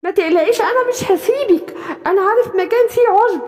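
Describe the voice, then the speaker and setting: A woman's voice: very high voice, quick pace. Woman voicing a kind male forest animal. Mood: reassuring. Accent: Egyptian. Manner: gentle, comforting. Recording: reverberant room.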